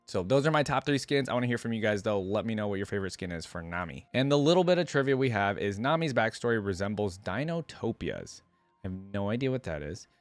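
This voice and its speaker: A man's voice: on the low side, no echo. A man hosting a reaction video, talking informally and musing aloud as he comments and reads along.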